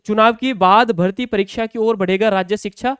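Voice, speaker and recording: A man's voice: high-pitched, steady tone, brisk, a bit bright, smooth, no room sound, studio quality. A man explaining exam news to students as a coaching teacher, conversational and often checking in with short tag questions.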